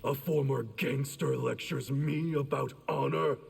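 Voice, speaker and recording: A gravelly, haughty warrior. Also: male voice, mid-range voice, steady tone, unhurried, full character voice. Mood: stern, scornful. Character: hostile, haughty clan warrior, distrustful and stern. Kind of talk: video game fight intro dialogue. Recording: loud background noise and clicks.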